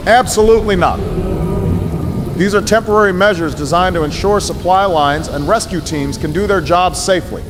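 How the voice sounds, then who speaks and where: A man playing a grave, resolute president giving a measured, formal emergency address in an audio drama, with solemn reassurance. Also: sits high, background noise, close to an everyday voice. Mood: measured, firm. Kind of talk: formal press conference address.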